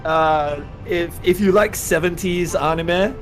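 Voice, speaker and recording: A man's voice: sits high, takes it slowly. Casual middle-aged man, music behind. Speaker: livestream co-host and game artist. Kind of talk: casual chat, screen demonstration.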